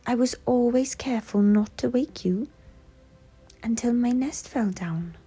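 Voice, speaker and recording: A woman voicing a friendly, gentle little female owl in a light, breathy storybook voice over music. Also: on the high side, unhurried, voice pushed up. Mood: explaining.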